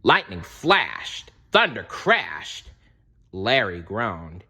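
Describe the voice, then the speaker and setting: Animated, slow-paced man reading a storybook. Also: on the low side, gravelly, breathy edge. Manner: dramatic, explains asides to young listeners. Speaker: storybook reader. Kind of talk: animated children's read-aloud narration.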